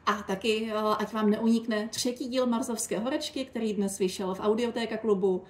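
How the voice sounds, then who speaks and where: A woman's voice: on the high side, steady tone, a little mellow, slight background noise. A woman hosting an informal interview podcast, asking questions and addressing listeners. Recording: roomy, echoing sound.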